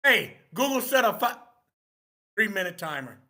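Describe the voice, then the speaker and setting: A man's voice: high-pitched, sing-song, normal speed, sharp tone, heavily acted. A man playing a terse, sullen middle-aged firearms officer in a screenplay table read. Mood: businesslike. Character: intelligent 47-year-old firearms officer, sullen, terse, second fiddle.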